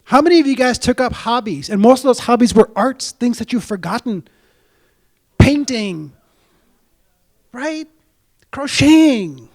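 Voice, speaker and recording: A man from India, giving a casual talk to a club audience as a guest speaker and dropping in frequent "right?" asides. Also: high-pitched.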